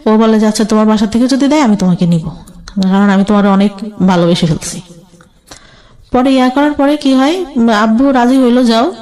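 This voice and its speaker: A woman with a Bangladeshi accent shares a personal story as a guest. Her voice sits fairly high yet has a very soft, mellow tone. She talks colloquially, replaying exchanges as she goes. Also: a little fast, pauses often, low-quality recording. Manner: recounts quoted exchanges in colloquial speech.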